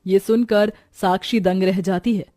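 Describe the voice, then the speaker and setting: A woman's voice: mid-range voice, steady tone, unhurried, dark tone, no echo, studio quality. A woman reading scripted storytelling narration for a cartoon moral tale.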